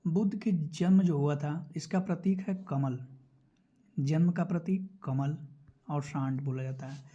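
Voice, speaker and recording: A man's voice: a little high, a little slow, warm tone, muffled recording. A man teaching an exam prep lesson, explaining step by step and repeating key points. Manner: repeats key points instructively, checks in with listeners. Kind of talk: explanatory lesson going through quiz questions.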